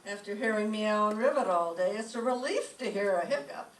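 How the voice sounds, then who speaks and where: A woman's voice: medium pitch, animated, brisk, lightly acted. A woman playing a wry, quippy schoolteacher with dry comic delivery, in an old-time radio comedy. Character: wry, wisecracking female schoolteacher. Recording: echoey stage, distant mic, faint noise.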